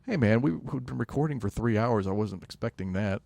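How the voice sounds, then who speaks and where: A man's voice: medium pitch, expressive, brisk, vocal fry, a little mellow, no room sound. A man co-hosting a podcast in casual chat, laid-back with dry jokes and hesitant fillers. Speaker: podcast co-host.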